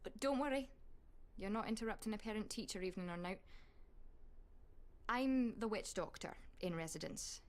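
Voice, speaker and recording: An actress as a witch doctor who teaches at a school in a video game. She speaks at a medium pitch, brisk and wry, with a colloquial, sardonic edge. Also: natural voice. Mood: wry exasperation. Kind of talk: video game cutscene acting.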